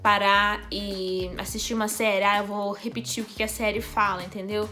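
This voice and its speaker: A young woman from Brazil with a Brazilian Portuguese accent, telling a personal story in a chatty, animated vlog, laughing often. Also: medium pitch. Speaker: YouTuber.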